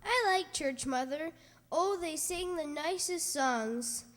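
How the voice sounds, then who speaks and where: A woman's voice: sits high, lively intonation, slow. A woman playing a sweet, pious, frail little boy in a radio drama, speaking with innocent earnestness. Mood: innocent, earnest. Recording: reverberant hall recording.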